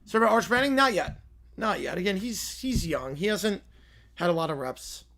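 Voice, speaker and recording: A man hosts a live game stream and calls the football play by play with a high, bright voice. His voice is hoarse and worn from long use. He reacts to the action and answers chat between plays. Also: normal speed. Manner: excited, rising commentary on big plays, quieter asides to chat.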